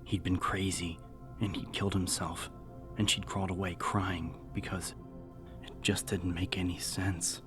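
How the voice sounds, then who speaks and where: A man narrating a scripted horror audiobook in a measured, serious storytelling manner. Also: sits low, little pitch movement. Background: music.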